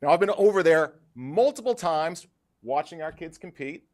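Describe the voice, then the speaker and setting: A man in his fifties, a parent from Western New York, argues his point at a school board meeting. He talks loudly with a lively, swinging pitch that sits a little high. He stands back from the podium mic. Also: normal speed. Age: 50s. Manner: loud, animated, numbers-driven speech. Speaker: parent speaking at public comment. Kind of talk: public comment at a board meeting. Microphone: podium mic, stepped back from it.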